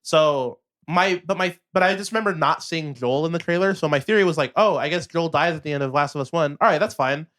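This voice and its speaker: A man's voice: fairly high pitch, a little fast, hardly any pauses, a bit bright. A man co-hosting a podcast in casual chat, rambling and hesitant, often restarting his sentences. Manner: rambling, hesitant, restarts a lot, laughs easily. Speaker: podcast co-host.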